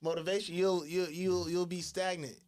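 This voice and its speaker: A man's voice: high-pitched, flat delivery, normal speed, a little mellow. A man hosting a casual podcast, asking questions and chiming in eagerly and agreeably. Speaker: podcast host.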